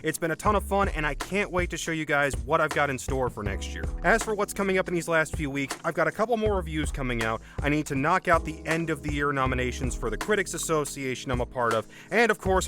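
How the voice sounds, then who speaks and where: A man with a voice hoarse from a cold, delivering a scripted, opinionated movie review roundup as a channel host. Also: a little high, lively intonation, fast talker, few pauses, over music. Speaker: film critic and channel host.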